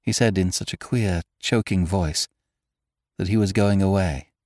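A man's voice: low-pitched, a little slow, darkish tone, dry recording, studio quality. A man reading an audiobook, voicing a troubled young woman who hesitates and grows frightened as she speaks. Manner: hesitant, trembling, rising to alarm. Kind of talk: audiobook character voice.

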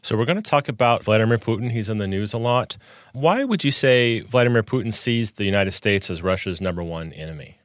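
A man hosting a podcast, reading a scripted introduction and asking interview questions in a measured, formal way, recorded in a studio. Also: fairly low pitch, expressive, dry recording. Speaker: podcast host. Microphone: studio microphone.

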